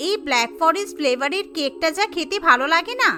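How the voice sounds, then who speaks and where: Woman voicing a shrill, jealous young sister-in-law. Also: expressive, fast talker, pushed and bright, faint music behind, full character voice. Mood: gloating. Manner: sulky, taunting, manipulative. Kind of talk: animated family story dialogue.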